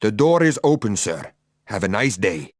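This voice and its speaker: A man's voice: on the low side, sing-song, normal speed. A man playing a polite, formal security guard in a video game. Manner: courteous, formal. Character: polite male security guard checking access codes. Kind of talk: scripted video game dialogue.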